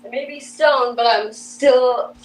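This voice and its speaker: A woman's voice: high voice, steady tone, slow, low-quality recording. Giggly woman over faint noise. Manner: giggly, rambling, self-deprecating. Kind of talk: casual chat on a livestream.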